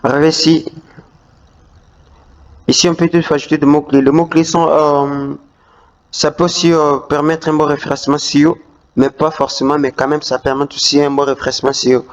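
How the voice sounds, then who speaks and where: A man's voice: a little high, little pitch movement, fairly quick. A man hosting a screen-recorded tutorial walkthrough, talking hesitantly with many fillers and restarts. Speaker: YouTube tutorial host. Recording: dull lo-fi voice-over.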